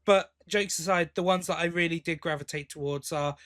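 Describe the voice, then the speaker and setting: A man's voice: a little high, steady tone, brisk, a bit bright. A man co-hosting a podcast in casual chat, giving his opinions informally and bluntly. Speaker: podcast co-host.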